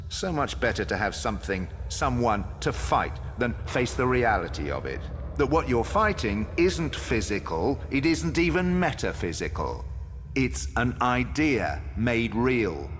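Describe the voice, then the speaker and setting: An actor playing an ancient banished trickster god, a smug and sardonic game villain delivering theatrical monologues, savoring each word. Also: male voice, fairly low pitch, expressive, unhurried, faint music behind. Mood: bitter, ominous. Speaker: video game villain in story cutscenes.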